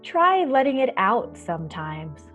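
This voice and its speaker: A woman hosting a children's storytime, reading and explaining in a soft-spoken, reassuring, measured way. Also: fairly high pitch, big pitch swings, soft background music. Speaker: children's library storytime host. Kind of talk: gentle picture-book reading and explaining to children.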